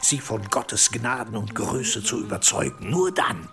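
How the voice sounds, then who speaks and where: An actor playing a stern, scheming high-ranking medieval churchman in a German radio drama, measured and commanding. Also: animated, over music. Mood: calculating, insistent.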